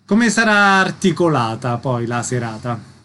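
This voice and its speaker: A man's voice: medium pitch, very animated, measured pace, pushed and bright, a little hiss or hum. A man hosting a radio interview, announcing events and putting questions to guests.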